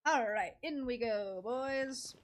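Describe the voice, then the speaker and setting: A woman's voice: a little high, takes it slowly, slight background noise. A woman streamer giving casual, playful game commentary with little exclamations and silly noises. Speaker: live streamer.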